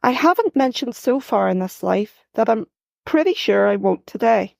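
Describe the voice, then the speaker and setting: A woman reads her own first-person short story in a measured, gently expressive way. Her voice sits a little high and has a gravelly edge, and the recording is close and dry. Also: no room sound. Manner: measured, gently expressive reading, lifting her voice for quoted dialogue.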